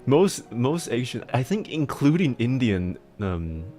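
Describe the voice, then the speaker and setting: A man livestreaming and chatting casually, explaining things in halting bursts with frequent restarts and laughter, speaking with a mixed accent. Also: mid-range voice, sing-song, mellow, soft background music. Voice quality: self-described mixed accent. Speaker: livestreamer answering chat.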